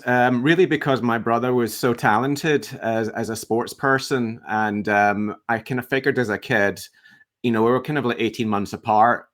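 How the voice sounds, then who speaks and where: A Scottish man in his fifties chatting as an interview guest, halting and reflective, with a self-deprecating manner. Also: mid-range voice. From west coast of Scotland. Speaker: guest, entrepreneur and coach. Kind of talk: casual interview chat.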